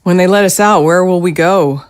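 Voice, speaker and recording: A woman's voice: fairly low pitch, moderate pace, close to an everyday voice. A woman playing a newly undead, middle-aged mother in an audio drama, speaking hesitantly and a little defensively. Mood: subdued, uncertain.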